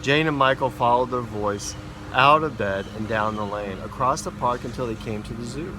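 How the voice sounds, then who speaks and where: A man reads a children's storybook aloud in an expressive way, stumbling now and then, outdoors in a backyard. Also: medium pitch, normal speed. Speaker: school principal reading a story. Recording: noisy outdoor backyard recording. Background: neighbourhood ambience, mowers, dogs, kids.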